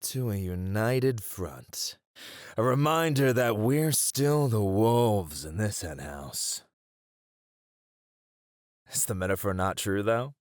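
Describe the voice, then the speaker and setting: A man voicing a confident, flirtatious mob leader with a lowish voice that swings expressively. He is shrewd and playfully theatrical, teasing his listener in an intimate, dry, close-sounding audio roleplay. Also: expressive, slow, long pauses, dry recording. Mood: confident, sly. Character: cocky, flirtatious, shrewd male gang leader. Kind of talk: flirty ASMR roleplay acting.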